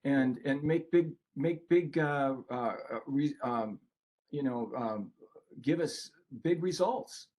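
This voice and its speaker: A man with a mid-pitched voice co-presents an informal webinar. He talks as an author addressing an online group. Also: slow pace, darkish tone.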